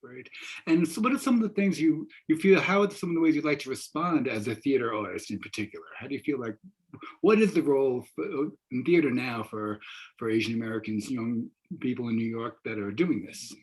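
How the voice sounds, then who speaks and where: A man's voice: mid-range voice, fairly quick, husky. An older man moderating an online panel discussion from home, feeling his way through his questions with restarts. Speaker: moderator, professor. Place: wood-panelled room at home. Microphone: video call, laptop. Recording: dull video-call audio.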